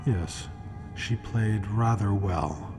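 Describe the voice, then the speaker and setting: An actor as an ominous, knowing true-crime storyteller, narrating a radio mystery with wry, deliberate phrasing. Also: male voice, fairly low pitch. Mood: wry foreboding. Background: music.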